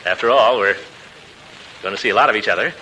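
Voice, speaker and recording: A man's voice: fairly low pitch, fast talker, pushed and bright. An actor playing a pompous, hesitant middle-aged uncle in a 1940s radio comedy, hemming and hawing as he talks. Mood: flustered, hearty. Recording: faintly noisy old 1940s radio recording.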